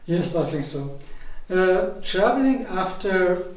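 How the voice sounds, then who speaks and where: A man from Iran whose first language is Azerbaijani Turkish, a professor answering interview questions in a measured way with frequent fillers. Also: high voice, very animated. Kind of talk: conversational interview. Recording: echoey room, mic at a distance, faint noise.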